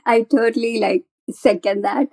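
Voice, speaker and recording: A woman with a slightly high voice explains things in a casual, conversational way. She sounds like an enthusiastic, gushing guest developer. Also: steady tone, moderate pace, creaky, dark tone.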